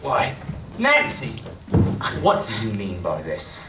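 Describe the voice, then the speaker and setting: A sly old gang boss acted out by a stage player in an amateur radio play. The delivery swings widely in pitch, wheedling and mockingly polite, heard from far off in an echoey, noisy hall. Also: huge pitch swings, slow, heavily acted. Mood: falsely soothing. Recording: distant echoey hall recording with clear noise.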